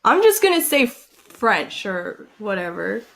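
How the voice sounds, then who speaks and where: A young woman vlogging casually, reacting in an animated, excitable way and filling her talk with likes and ums. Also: mid-range voice, slow pace, gravelly. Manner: animated, excitable, filler-heavy with frequent likes.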